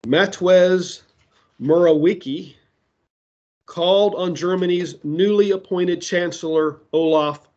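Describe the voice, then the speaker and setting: A man preaching a sermon that ties prophecy to current events, speaking deliberately with side remarks, his voice giving out. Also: fairly high pitch, darkish tone, lo-fi sound. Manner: slow, deliberate delivery with asides. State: losing his voice.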